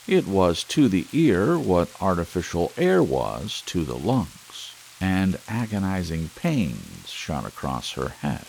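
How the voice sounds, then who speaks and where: A man narrating a story as a sleep audiobook in a measured, dramatic literary style with deliberate pauses. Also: sits low, rough recording. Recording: clear steady rain hiss behind voice. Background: rain sounds.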